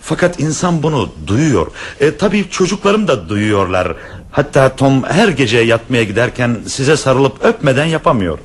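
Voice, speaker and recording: A man's voice: mid-range voice, sing-song, moderate pace, slightly rough. An actor playing a hesitant middle-aged professor and father in a radio play, stammering with shyness. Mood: earnest. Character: flustered middle-aged male professor, shy suitor.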